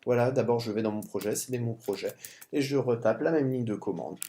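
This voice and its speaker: Brief: male tutorial presenter with a French accent, stuffy with a cold, mellow dark tone, halting delivery. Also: medium pitch, a little hiss or hum. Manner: halting, stumbles and restarts words. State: has a cold. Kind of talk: screen-recorded coding tutorial.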